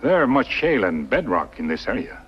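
An actor playing a loyal, stoic companion to a western hero, speaking terse broken English in a grave manner. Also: male voice, mid-range voice, big pitch swings, moderate pace, husky. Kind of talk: 1950s television western acting. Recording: muffled, noisy 1950s film soundtrack.

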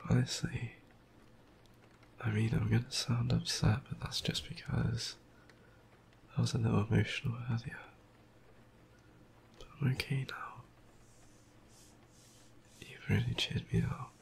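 A tired young man with a croaky voice, softly whispering an affectionate boyfriend roleplay close to the mic. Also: mid-range voice, level tone, measured pace, long pauses. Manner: soft, affectionate whispering with quiet laughs. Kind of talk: ASMR roleplay.

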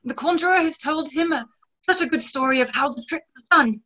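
High-voiced, earnest young gentlewoman woman acting. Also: a little fast. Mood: relieved. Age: young adult. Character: tender, earnest young gentlewoman in a period play. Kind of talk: online play reading. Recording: slightly dull video-call audio.

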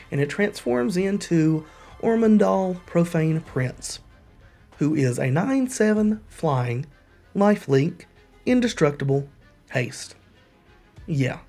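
A man's voice: on the high side, a little mellow. A man commentating his own online card game, explaining card rules and then muttering along with the plays while he recovers from a cold. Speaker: gameplay commentator. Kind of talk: casual gameplay commentary.

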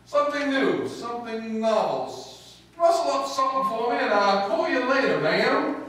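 Man putting on a high hollering cowboy, very echoey. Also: very animated, moderate pace, a bit bright, full character voice. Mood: folksy, stubborn. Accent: mock American Western. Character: folksy drawling cowboy, hearty fake Western caller. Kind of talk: old-time radio comedy acting. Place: stage in a hall before a seated audience. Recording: very echoey room, distant camera microphone.

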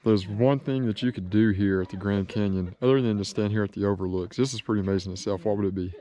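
A man's voice: fairly low pitch, quick pace, warm tone. A man in his thirties with a Southern American accent, chatting casually at an outdoor overlook while filming himself at arm's length. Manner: friendly, chatty, talks to strangers and animals. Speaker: vlogger. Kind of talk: casual travel vlog. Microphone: camera held at arm's length. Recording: background guitar music.

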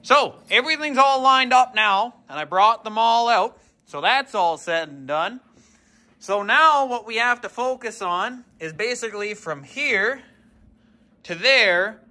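A young man with a fairly high, bright voice chats his way through a workshop project. He is easygoing and laughs at his own slip-ups. He films it all on an action camera inside a big garage. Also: expressive, a little slow. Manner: easygoing, self-deprecating, talks straight to viewers. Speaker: garage builder showing a project. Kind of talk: casual how-to workshop vlog. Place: large indoor workshop garage. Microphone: handheld or body-worn action camera.

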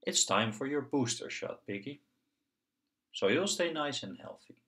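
Man doing a kids book puppet doctor, reassuring n gentle, really animated up and down. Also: medium pitch, unhurried, pitched above the performer's own voice. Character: friendly, reassuring puppet doctor in a children's storybook.

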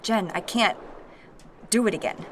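A woman's voice: mid-range voice, unhurried, fairly bright tone, slight background noise, close to an everyday voice. A woman playing a blunt, guarded, wounded noblewoman in a fantasy audio drama, speaking tersely. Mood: pained, pleading.

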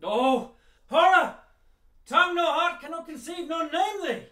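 A man's voice: extremely high pitch, expressive, a little slow, bright, forceful tone, exaggerated voice. A man playing an urgent, loyal nobleman in a classical Shakespearean radio drama, recorded in an echoing room. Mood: horrified, urgent. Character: urgent, loyal Scottish nobleman, horrified by murder.

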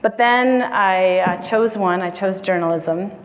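A woman's voice: mid-range voice, a little slow, slight background noise. A woman in her thirties from the United States, a medical writer chatting as a guest on a live podcast, with frequent laughs and fillers. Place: conference stage before an audience. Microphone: lapel mic. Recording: long hall reverb.

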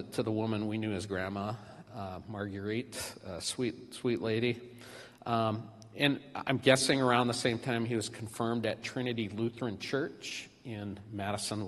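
A middle-aged man giving a eulogy for his father at a church podium, speaking haltingly with many fillers and restarts. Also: lowish voice, fairly bright tone. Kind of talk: memorial eulogy. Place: large church sanctuary. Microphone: podium microphone. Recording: reverberant church hall.

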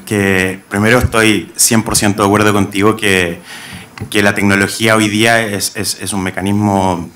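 A young Chilean-accented man asks a question from the floor of a large wood-panelled chamber. His voice is fairly low and level, friendly, with repeated words as he thinks, and a faint hum sits behind him. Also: little pitch movement, normal speed, a bit bright. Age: young adult. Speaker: audience member asking a question. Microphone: desk microphone.

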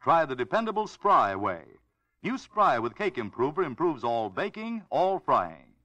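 A man's voice: a little high, sing-song, unhurried, bright, forceful tone, no room sound, lo-fi sound. A man announcing a 1940s radio commercial from scripted copy. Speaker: advertising announcer. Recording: old 1940s radio broadcast.

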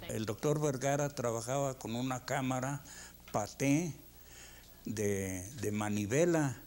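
A man's voice: mid-range voice, a little hiss or hum. An elderly man in his eighties with a Mexican accent, casually reminiscing as a veteran animator. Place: small room at home, indoors. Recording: music over the voice. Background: loud music.

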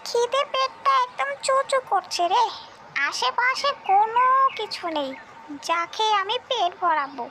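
A woman's voice: very high-pitched, animated, measured pace, bright, forceful tone, a little hiss or hum, heavily acted. A woman voicing a hungry, grumbling, threatening female tree ghost in a cartoon folk tale, muttering to herself and repeating phrases. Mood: hungry, scheming.

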